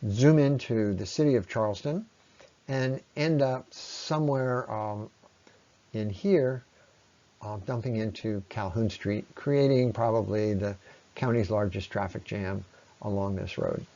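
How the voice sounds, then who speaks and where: A man narrating an informal slide presentation in an explanatory way, with halting pauses. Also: on the low side, mellow, dull audio. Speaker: presenter on local ecology.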